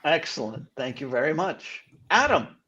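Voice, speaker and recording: A man's voice: fairly high pitch, sing-song, moderate pace, bright, forceful tone. Upbeat male host on a muffled line. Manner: upbeat, polished announcer delivery. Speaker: podcast host. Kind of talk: scripted show introduction and interviewing. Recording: dull lo-fi online call audio.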